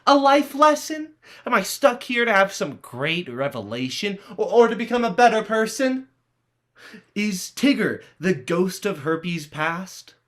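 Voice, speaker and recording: A woman's voice: mid-range voice, big pitch swings, measured pace. A woman playing an exasperated, troubled teenage boy in a stage play reading, sarcastic and weary.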